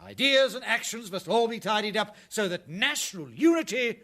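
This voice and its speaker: An actor playing a smug, pompous prime minister of an authoritarian regime in a satirical radio drama, oily and declamatory. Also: male voice, high voice, big pitch swings, moderate pace, fairly bright tone, full character voice. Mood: self-satisfied.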